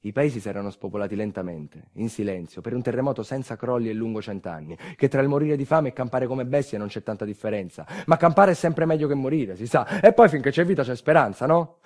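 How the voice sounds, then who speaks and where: An actor playing a bitter, resigned earthquake survivor in a theatrical storytelling monologue, sardonic and folksy, with wry asides. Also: male voice, medium pitch, darkish tone. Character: bitter, resigned southern Italian earthquake survivor telling his tale.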